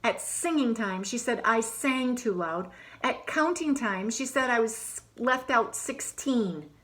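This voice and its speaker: A woman's voice: a little high, animated, slow, fairly bright tone. A woman reading the lines of a grumpy young boy from a picture book, complaining and emphatic. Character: grumpy young boy having a bad day. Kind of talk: picture book read aloud.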